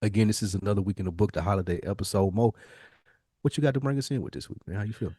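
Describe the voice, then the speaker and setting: A man's voice: on the low side, rapid-fire, mellow, breathy edge, no room sound, clean studio sound. A man co-hosting a podcast in casual chat, with an emphatic, informal way of talking. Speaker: podcast co-host.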